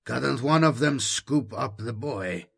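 A doubtful military subordinate played by a male narrator speaks up haltingly in an audiobook. The voice is husky and hesitant. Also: medium pitch, lively intonation, a bit bright, full character voice.

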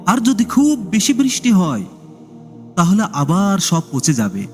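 A man's voice: sits high, huge pitch swings, a little slow, darkish tone, full character voice. A man voicing an honest, anxious young man who frets and overthinks in a story. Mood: worried. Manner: worried, fretful. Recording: long reverb, music behind.

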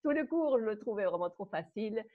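A woman in her fifties from Germany, speaking with a German accent, tells her story as a guest on a video call. She talks in an animated way, hesitates often and laughs between phrases. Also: medium pitch, fairly quick. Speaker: guest dance teacher. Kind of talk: personal storytelling on a live call. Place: home room. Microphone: webcam on a video call. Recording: muffled video call audio.

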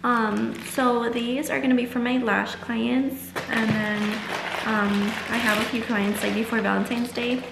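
A woman's voice: fairly high pitch, flat delivery, a little slow, vocal fry, reverberant, background noise. A young woman chatting casually and informally to viewers in a vlog, sprinkling her talk with "like". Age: young adult. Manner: chatty, informal, heavy use of "like". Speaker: vlogger. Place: small room at home, indoors.

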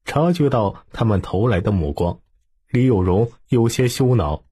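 A man narrating a historical novel as an audiobook in a dramatic, expressive storytelling manner. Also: fairly low pitch, unhurried, slightly rough.

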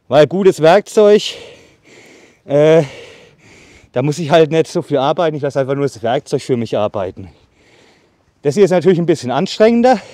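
A man in his thirties with a somewhat high voice chats his way through a tool demo in an open meadow, a little winded from sawing. His talk is loose and full of fillers, recorded by a camera set back from him, with a dry sound. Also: warm tone, dry recording. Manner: chatty, filler-heavy, pauses while sawing. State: slightly out of breath from sawing. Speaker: bushcraft channel host. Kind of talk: casual product demo. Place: outdoors in a leafy meadow by trees. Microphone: far camera on a tripod.

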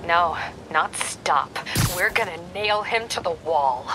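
A woman's voice: fairly low pitch, expressive, slow pace, poor audio. An actress playing a hurt, grimly vengeful woman police captain in a video game drama, speaking in a terse, restrained way. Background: loud music.

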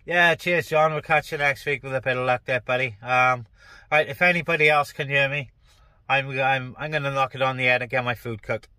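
A man's voice: mid-range voice, steady tone, a little fast, sharp tone, no room sound. A man chatting casually as a CB radio operator, speaking colloquially and repeating phrases, inside a parked car.

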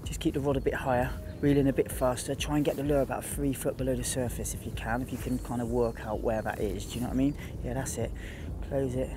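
A man guiding a beginner through fishing by a lake, giving quick, repeated instructions. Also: mid-range voice, flat delivery, background noise. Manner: urgent, repetitive instructions. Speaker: fishing guide. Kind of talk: on-the-spot coaching. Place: outdoors by a lake.

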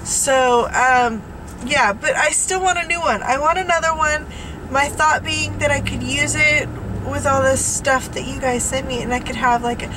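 A woman in her forties chatting bubbly and rambling to her viewers while driving, a little sniffly from allergies, recorded in a car on a dashboard camera. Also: high-pitched. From California. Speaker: vlogger. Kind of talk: casual chat while driving. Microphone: dashboard camera mic. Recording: road noise behind voice.

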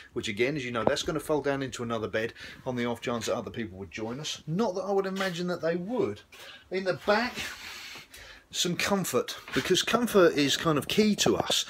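A middle-aged man with a British accent giving a chatty, rambling walk-through pitch to camera as a salesman. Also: expressive, brisk. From United Kingdom. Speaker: RV salesman presenting a vlog. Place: RV sales lot, outdoors and inside trailers. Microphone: phone at arm's length. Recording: handheld recording with clear ambient noise.

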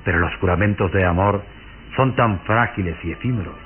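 An actor playing a sinister vampire count in a radio drama, courtly, measured and mocking. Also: male voice, sits low, lightly acted. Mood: mocking, insinuating. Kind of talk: Mexican radio drama acting. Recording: muffled old radio recording with music.

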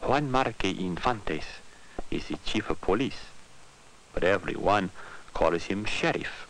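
A man narrating an educational film in simple scripted sentences, telling it like a story in measured, deliberate phrases. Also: deep voice, lively intonation, fairly bright tone, poor audio. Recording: 1960s educational film soundtrack with music. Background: guitar music.

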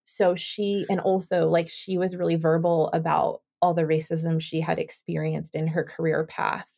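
A woman's voice: sits low, flat delivery, moderate pace, gravelly, warm tone. A woman from Southern California, hosting a podcast and chatting in a rambling, thinking-aloud way. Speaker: podcast host. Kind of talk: casual interview chat.

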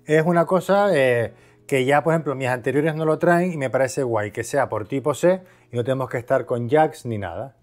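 A man's voice: medium pitch, normal speed. A man from Spain giving a casual, conversational product review, explaining things by asking and answering his own questions. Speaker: video host, product reviewer.

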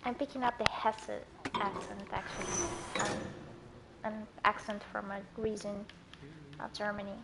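A woman's voice: mid-range voice, level tone, a little slow, gravelly. A woman streaming a game and chatting casually with her viewers between moments of play. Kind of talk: casual chat while playing. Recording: loud game noise behind voice. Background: game sounds.

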